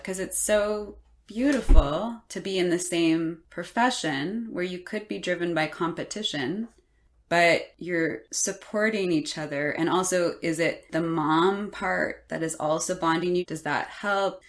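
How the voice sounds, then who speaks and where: Female interviewer, somewhat low pitch, conversational podcast questioning. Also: measured pace.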